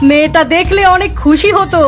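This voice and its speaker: A sharp-tongued village wife, voiced by a woman, talks in a high, theatrical cartoon voice. Music plays clearly behind her expressive lines. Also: fairly quick. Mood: pleased. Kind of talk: cartoon voice acting.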